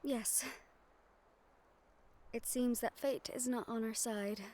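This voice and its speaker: Gentle high-voiced noblewoman in fantasy romance, acted by a woman. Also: fairly even intonation. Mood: wistful, regretful. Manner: soft, formal, measured diction with tender pauses. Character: gentle young noblewoman ward, wistful, formal, secretly smitten. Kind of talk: romantic fantasy audio roleplay.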